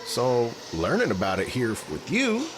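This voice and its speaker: A man plays a friendly young athletic hero in an audio drama. His medium-pitched voice is slangy and casual with very wide swings, set in noisy ambience. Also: huge pitch swings, unhurried. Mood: friendly, relaxed.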